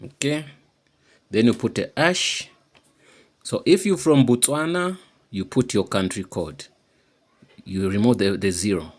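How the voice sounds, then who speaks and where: A man giving an informal, unscripted how-to demonstration, halting and repeating himself as he explains each step. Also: on the low side, a little mellow. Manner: halting and repetitive, often checking understanding. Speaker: tutorial presenter.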